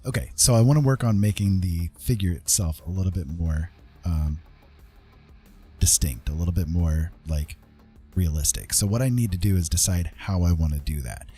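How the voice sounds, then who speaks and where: A man hosting a casual art livestream, thinking aloud in an unhurried, tentative way and often checking in with listeners. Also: low-pitched, warm tone, breathy edge, soft background music. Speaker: livestream host, digital artist.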